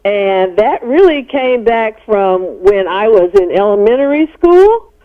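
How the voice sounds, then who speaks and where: A woman's voice: a little high, big pitch swings, a little slow. A middle-aged American woman explaining things as a psychologist guest in a radio interview. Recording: narrow-band phone-like radio sound.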